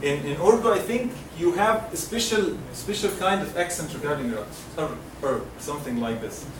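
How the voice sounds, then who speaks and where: A man's voice: a little high, animated. Man teaching from across an echoey classroom. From Egypt. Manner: patient, repeats sounds slowly for students to echo. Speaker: Quran recitation teacher. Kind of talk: classroom lesson with call-and-response drills. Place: lecture classroom. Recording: roomy classroom, mic far off, noisy.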